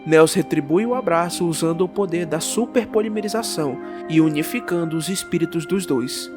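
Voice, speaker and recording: A man with a Brazilian accent giving a theatrical, epic narration of a scripted audio drama. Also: a little high.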